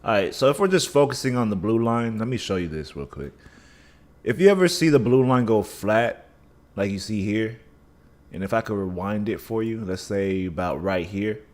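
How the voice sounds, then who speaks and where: A man's voice: fairly low pitch, lively intonation, normal speed, darkish tone. A man hosting a casual live stream, talking through chart analysis with a scratchy voice and a dry mouth, thinking aloud with many restarts. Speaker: livestream trading host.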